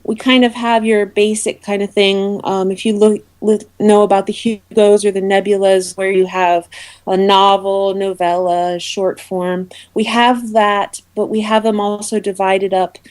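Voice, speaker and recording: A woman's voice: medium pitch, level tone, a little slow, darkish tone. A woman speaking as an interview guest, explaining things earnestly in a conversational way. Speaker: interview guest, awards committee representative.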